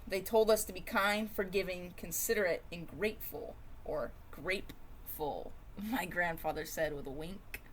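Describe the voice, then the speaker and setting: A woman reading a children's storybook aloud in an expressive, dramatic way, with varied emphasis and pauses. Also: fairly low pitch, a little hiss or hum. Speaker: storybook reader.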